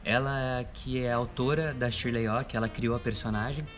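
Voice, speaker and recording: A man's voice: medium pitch, fairly even intonation, moderate pace, a bit bright. A Brazilian man, a cultural producer, talking casually in a making-of interview and often tagging phrases with little checks. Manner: frequent "né" tags. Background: background music.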